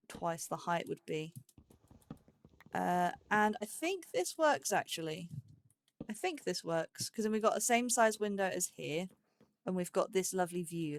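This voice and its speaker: Woman, casual let's play host, mid-range voice, playful self-talk, fragmented thinking-aloud phrases. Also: lowish voice. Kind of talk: casual gameplay commentary.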